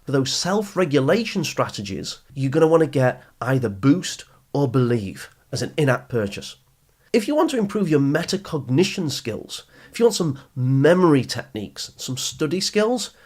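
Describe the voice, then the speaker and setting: A man's voice: mid-range voice, big pitch swings, moderate pace, a little mellow, slightly rough. A man hosting a podcast, speaking to listeners in an encouraging, instructional way with emphatic phrasing. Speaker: podcast host and education speaker. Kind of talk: scripted podcast narration, addressing listeners directly.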